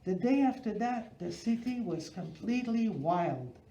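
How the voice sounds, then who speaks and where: A woman's voice: mid-range voice, animated, a little slow, warm tone. A woman reads a children's picture book aloud as a storyteller, expressively, phrase by phrase with emphasis. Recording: roomy, slightly dull recording.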